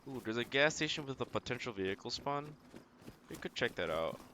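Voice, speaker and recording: A man's voice: mid-range voice, sing-song, a little fast, pushed and bright, a little hiss or hum. A man giving casual gameplay commentary as he streams a survival game, muttering short, playfully quaint remarks to himself.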